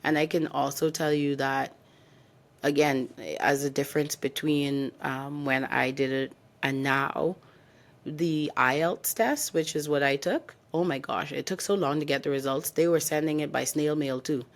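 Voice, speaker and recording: Podcast co-host from the Bahamas, young woman, low voice, candid storyteller. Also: steady tone, a little slow. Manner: candid, storytelling, advice-giving. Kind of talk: casual podcast chat.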